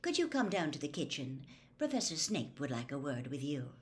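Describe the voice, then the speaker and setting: A woman voicing a motherly middle-aged witch in an audiobook, brisk and mildly reproving. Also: low voice, sing-song, voice pushed down. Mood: coaxing. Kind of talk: audiobook character voice.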